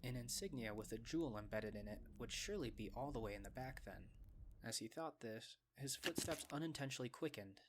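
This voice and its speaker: A man reading scripted story narration, with a measured, descriptive storytelling delivery. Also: mid-range voice, lively intonation, noisy background.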